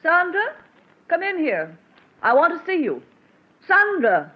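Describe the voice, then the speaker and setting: A fed-up, scolding housekeeper speaks sharply with lively, swinging intonation. It is 1940s radio acting on a muffled old recording. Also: female voice, very high-pitched, a little slow, full character voice. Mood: stern scolding. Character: stern, fed-up female housekeeper scolding a child.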